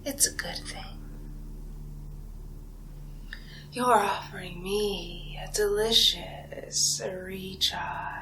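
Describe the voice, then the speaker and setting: Woman acting a smug vampire villainess, breathy asmr whisper, crawling hypnotic pace, clear noise behind her. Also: mid-range voice, a bit bright, rough recording. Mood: gloating. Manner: slow, hypnotic, lingering pauses and repetition. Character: condescending seductive female vampire feeding on human prey.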